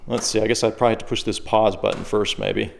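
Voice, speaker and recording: A man's voice: fairly low pitch, fairly even intonation, fast, vocal fry, very noisy. A man giving a casual, unscripted product demonstration, plain-spoken and easygoing, thinking aloud as he goes. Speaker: secondhand shop presenter.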